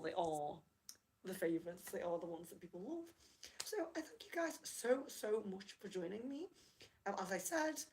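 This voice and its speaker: A woman hosting a casual livestream, chatting and apologising to viewers as she reads out their comments. Her voice has a gravelly fry and sits at a middling pitch. She is slightly hoarse from losing her voice. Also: darkish tone, a little hiss or hum, poor audio. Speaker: livestream rune reader.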